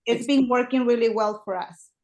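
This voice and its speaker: A woman's voice: a little high, normal speed, a little mellow. A woman from Mexico whose first language is Spanish, speaking English with a Mexican Spanish accent in an earnest, casual way on a video call, with some grammar slips. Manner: earnest, fillers like "um" and "like", non-native grammar slips. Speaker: parish religious education worker. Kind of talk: online meeting discussion.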